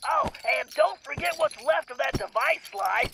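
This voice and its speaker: A man's voice: very high voice, normal speed, vocal fry, background noise. A man voicing a brainy, cautious young turtle gadget whiz in a video game, nasal, precise and a little fussy. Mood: matter-of-fact. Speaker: mission planner. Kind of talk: animated video game voice acting.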